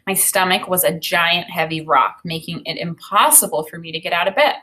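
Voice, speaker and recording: A woman teacher reading a children's novel aloud in an expressive way, with informal, explanatory think-aloud comments. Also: fairly low pitch, brisk.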